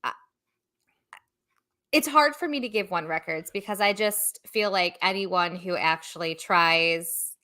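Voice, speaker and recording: A woman co-hosting a music review podcast, chatting casually with frequent giggles and a soft-hearted manner. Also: lowish voice, a little slow. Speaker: podcast co-host. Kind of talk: casual chat.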